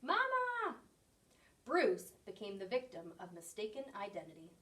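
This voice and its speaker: A woman reading a children's picture book aloud in an expressive storytelling style. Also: fairly high pitch, unhurried, recorded from a distance. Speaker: story reader.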